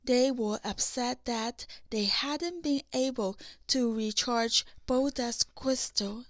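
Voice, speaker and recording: A woman reads a children's story aloud as an audiobook narrator. Her voice is high and she takes the text very slowly, with a dry sound free of room echo. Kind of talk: slow scripted children's story read-aloud.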